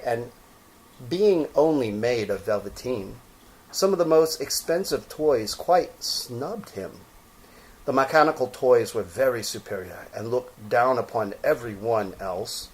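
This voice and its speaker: A man reads a children's story aloud with expression. His voice is mid-pitched and his delivery very slow, with deliberate, dramatic phrasing as the storyteller. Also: animated, poor audio.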